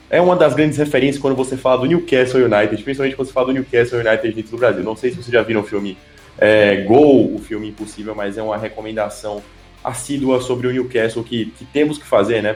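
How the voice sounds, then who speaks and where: A man's voice: mid-range voice, a little mellow. A man co-hosts a casual podcast, thinking aloud with lots of fillers and restarts. The recording sounds roomy and echoey, with faint music underneath. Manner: hesitant, many fillers and restarts. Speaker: podcast co-host.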